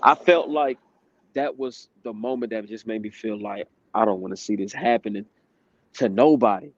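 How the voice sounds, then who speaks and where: A man's voice: lowish voice, moderate pace, no room sound. A man in his twenties giving candid, casual testimony as a guest, laughing between points. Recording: dry dull lo-fi sound.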